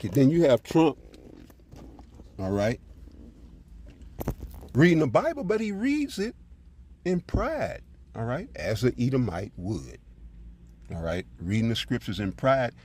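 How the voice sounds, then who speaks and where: Male scripture teacher, informal and emphatic, hugely varied intonation, raspy edge. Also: mid-range voice, wildly swooping pitch, slow, long pauses, darkish tone. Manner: emphatic, frequent "all right" check-ins. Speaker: preacher and presenter.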